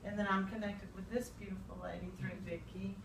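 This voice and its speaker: A woman's voice: medium pitch, flat delivery, brisk, gravelly. A woman chats informally, effusive and heartfelt. She is slightly off the microphone in a reverberant room, with noticeable ambient noise around her. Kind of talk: casual chat before a small audience. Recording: roomy, distant, noisy live event recording.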